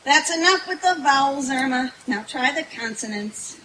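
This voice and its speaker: Woman playing a high-voiced wisecracking roommate. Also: lively intonation, normal speed, pushed and bright, a little hiss or hum, poor audio. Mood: sardonic. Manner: wry, sardonic. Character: Jane, wisecracking, affectionate roommate, young woman. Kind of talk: old-time radio sitcom acting and narration. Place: outdoor stage in the woods.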